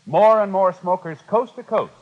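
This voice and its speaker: A man's voice: high voice, normal speed. An American man doing formal, scripted announcing for a 1950s radio program and its commercials. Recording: dull vintage radio recording.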